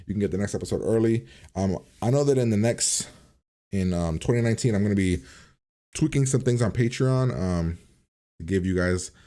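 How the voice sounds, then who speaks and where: A man's voice: low voice, dark tone. A man hosting a reaction video in a casual, blunt way, swearing now and then.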